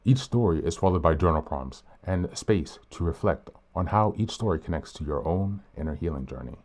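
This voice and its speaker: Very deep-voiced, slightly hoarse man. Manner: calm, earnest, deliberate. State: hoarse, a bit sick. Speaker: podcast host. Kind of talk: reflective podcast reading.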